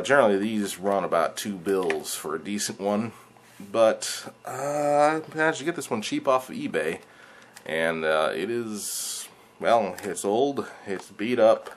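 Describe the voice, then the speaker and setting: A young man talking casually and a little hesitantly, explaining things to camera in a home vlog. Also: on the low side. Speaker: video creator, hobbyist presenter. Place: living room indoors. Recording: clear steady background noise.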